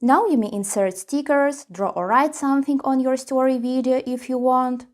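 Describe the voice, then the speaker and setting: A woman's voice: high-pitched, steady tone, moderate pace. A woman presenting a tutorial, reading scripted step-by-step instructions.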